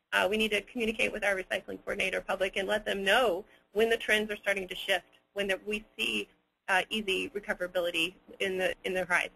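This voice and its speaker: A man answering audience questions as a webinar presenter, unscripted, hesitant and halting as he picks his words with care. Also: high voice, vocal fry, bright, forceful tone, poor audio.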